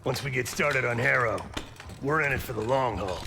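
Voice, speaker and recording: An actor playing a gruff, weary veteran soldier in a video game, blunt and casual, loyal underneath. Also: male voice, mid-range voice, normal speed, background noise, natural voice. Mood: gruff, reflective. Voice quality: gravelly, forceful. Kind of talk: naturalistic video game acting.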